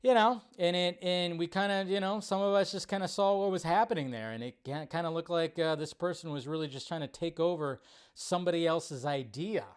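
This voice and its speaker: Casual, clear-voiced man hosting a stream. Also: on the high side, fairly quick. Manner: enthusiastic, rambling praise. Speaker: live stream host. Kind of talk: casual commentary over a video.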